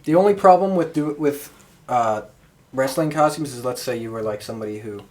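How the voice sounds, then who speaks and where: A woman's voice: rumbling low, fairly quick, mellow. A woman co-hosting a podcast in a casual, conversational chat about a shared hobby. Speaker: wrestling podcast host.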